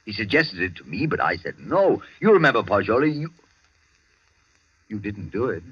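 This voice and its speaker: A muffled, dry old radio drama recording of a flustered, loyal gentleman. His husky voice is hesitant and exclamatory, with old-fashioned politeness and 1940s theatrical delivery. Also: on the low side, very animated, normal speed, dry recording. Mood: alarmed. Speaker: radio actor.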